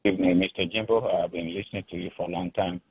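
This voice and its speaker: A man from Nigeria with a Nigerian accent, calling in to a radio show, earnest and halting with many fillers. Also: low voice, steady tone, creaky, breathy edge, no room sound. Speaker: caller. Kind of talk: call-in radio talk. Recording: tinny phone line.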